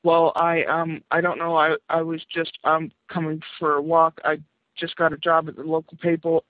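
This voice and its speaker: A man reads the part of a flustered young single mother in a romance story. She is hesitant and nervous, soft-spoken and prone to stammering. The sound is thin, like a phone line. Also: fairly high pitch, fairly even intonation, normal speed, no room sound, close to an everyday voice.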